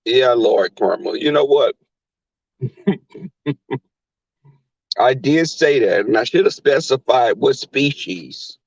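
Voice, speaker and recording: A man with a Southern accent hosting a live stream in casual chat, crass and flamboyant, often leaping into high squeals. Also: expressive, takes it slowly, creaky, pauses often, no echo. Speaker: livestream host. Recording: dull lo-fi stream audio.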